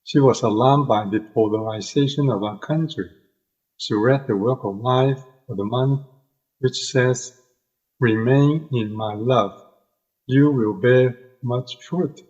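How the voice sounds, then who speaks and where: A man's voice: medium pitch, slow pace, darkish tone, slightly rough. An older man from Hong Kong, with a Hong Kong accent, sharing a personal testimony as a podcast guest. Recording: dull lo-fi audio.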